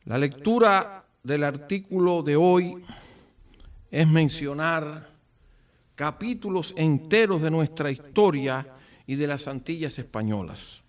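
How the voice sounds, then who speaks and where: A Cuban man with a Cuban accent giving a formal commemorative speech, solemn and rhetorical, with deliberate phrasing and dramatic pauses. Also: fairly high pitch. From Cuba. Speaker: speaker at a book presentation. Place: event at an office venue. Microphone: far from the speaker. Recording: distant microphone.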